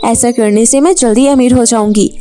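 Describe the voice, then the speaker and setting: A woman puts on a high, girlish voice for a greedy, excitable heroine of a children's moral story. She craves riches and speaks eagerly and dramatically. Music plays faintly behind her. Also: moderate pace, lightly acted. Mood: scheming. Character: greedy, excitable girl craving riches and beauty.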